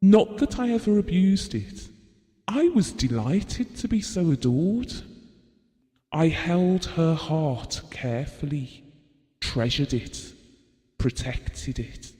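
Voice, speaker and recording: An actor playing a gentlemanly, possessive husband who looks back wistfully in an audio drama, measured and reflective. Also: male voice, fairly high pitch, sing-song. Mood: tender, possessive. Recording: long reverberant hall sound.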